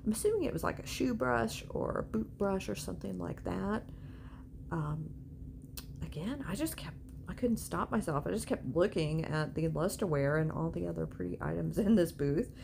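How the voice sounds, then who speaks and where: Low-pitched, gravelly woman, chatting casually. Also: expressive, normal speed. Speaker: vlogger and antiques reseller. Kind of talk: casual shopping vlog voice-over. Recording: clear background noise.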